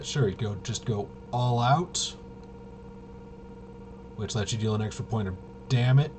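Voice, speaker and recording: Low-voiced man, halting, over background noise. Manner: halting, trails off and self-corrects. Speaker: host. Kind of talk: casual rambling tabletop game rules walkthrough. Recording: clear steady background noise.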